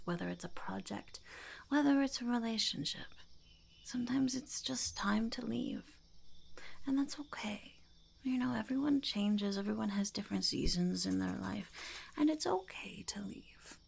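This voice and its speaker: A woman voicing a blunt, earnest female goblin shopkeeper in an ASMR roleplay, whispering and speaking softly with a gravelly creak and musing to herself. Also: mid-range voice, exaggerated voice. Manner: musing, hesitant, repeating phrases to herself.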